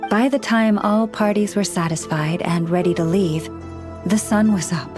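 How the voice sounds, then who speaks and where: A woman voicing a gentle, earnest young woman who counsels animals in a video game, speaking in a measured, formal way. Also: medium pitch, natural voice. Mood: fond, patient. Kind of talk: video game character dialogue. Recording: studio voice over background music.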